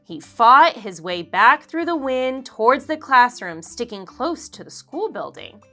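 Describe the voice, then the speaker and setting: Bright, animated woman reading a children's story. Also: a little high, soft background music. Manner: expressive, deliberate, acts out dialogue. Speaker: children's book narrator. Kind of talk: read-aloud storytelling for kids.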